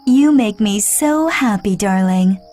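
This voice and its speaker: A woman voicing a doting, gentle mother in a children's storybook, tender and affectionate. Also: medium pitch, expressive, takes it slowly, faint music behind, voice pushed up.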